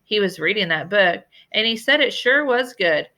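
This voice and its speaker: A woman voicing a genteel old lady librarian from a storybook, telling tales in a deliberate, dramatic way with emphatic repetition. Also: mid-range voice, expressive, bright, forceful tone, pitched above the performer's own voice. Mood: playful, storytelling. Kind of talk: storybook character voice in a read-aloud.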